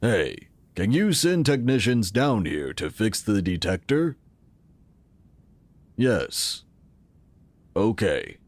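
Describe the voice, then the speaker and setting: A man playing an affable, enigmatic tech company founder in an audio drama, measured and welcoming. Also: on the low side, big pitch swings, no room sound, heavily acted. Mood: matter-of-fact. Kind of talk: full-cast audio drama acting.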